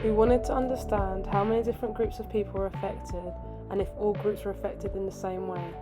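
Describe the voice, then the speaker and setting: An upbeat woman hosts a casual vlog and chats easily. Her voice sits in a middle pitch. Loud music plays underneath. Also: fairly even intonation, fairly quick. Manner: upbeat, chatty. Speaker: vlog host and street interviewer. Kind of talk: casual vlog narration and street interviews. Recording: voice-over with loud background music.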